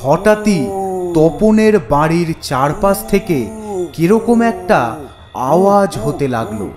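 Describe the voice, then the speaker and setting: A man's voice: a little high, animated, takes it slowly, over music. A man narrating a scripted horror story as the storyteller of a cartoon.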